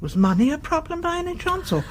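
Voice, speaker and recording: An actress playing a genteel, sympathetic but prying older neighbour in a radio drama, with a British accent and a polite, coaxing manner. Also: female voice, sits high, very animated, quick pace, slight background noise. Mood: probing, tactless.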